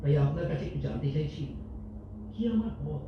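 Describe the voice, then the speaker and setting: A man acting a troubled, soft-hearted managing director in a staged audio drama, speaking emotionally with dramatic pauses. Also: on the high side, slight background noise, natural voice. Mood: wavering, heartfelt. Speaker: amateur stage actor in an audio drama competition. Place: multipurpose hall stage. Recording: echoey hall, distant mic, dull sound.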